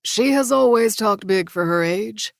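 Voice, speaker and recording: A woman's voice: medium pitch, lively intonation, unhurried, vocal fry, no room sound, studio quality, voice pushed up. A woman voicing a protective Texan father, friendly and relieved, with a drawl.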